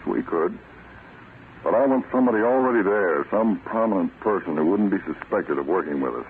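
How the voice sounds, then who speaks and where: Deep voiced spy boss, authoritative, businesslike, tinny old radio drama, bit of hiss. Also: male voice, expressive, fairly quick. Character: authoritative, calculating male intelligence chief. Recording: tinny vintage radio recording, light noise.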